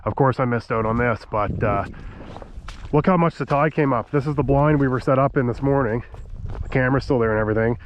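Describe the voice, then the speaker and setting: A man, nasal and stuffed up from a cold, talks casually to a handheld camera out on an open tidal marsh. His voice sits at an ordinary pitch, with a faint hiss of background noise behind him. He keeps trailing off with "so" and "um" as he narrates. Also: a little fast, dull audio. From Nova Scotia, Canada. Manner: chatty, trailing off with "so" and "um". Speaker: hunting vlogger, channel host. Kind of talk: casual outdoor vlog narration.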